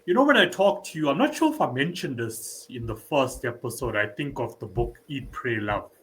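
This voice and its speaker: A man's voice: medium pitch, very animated, moderate pace, a bit bright. A man hosting a podcast, chatting casually and encouraging his guest with frequent agreement. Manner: encouraging, frequent "yeah" and "you know". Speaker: podcast host. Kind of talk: casual interview chat.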